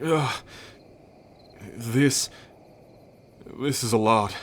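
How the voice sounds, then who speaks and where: A wary, wounded bull man acted by a man from a fantasy audio roleplay. He talks slowly and haltingly, guarded with every phrase. Night crickets and steady background noise sit behind him. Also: mid-range voice. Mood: shaken, torn. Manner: halting, guarded, hesitant delivery. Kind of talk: ASMR audio roleplay acting.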